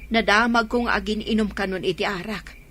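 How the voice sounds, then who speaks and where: A woman's voice: medium pitch, fairly even intonation, normal speed, fairly bright tone, a little hiss or hum, close to an everyday voice. A woman acting a nagging village wife who worries about her family in a radio drama. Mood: complaining.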